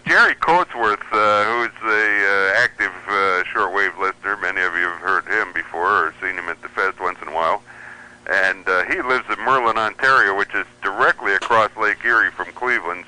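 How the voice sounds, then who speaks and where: Radio guest, American man, middle-aged. Sharp, forceful tone on a lowish voice, casual storytelling. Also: a little fast, rough recording. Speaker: guest, shortwave radio hobbyist.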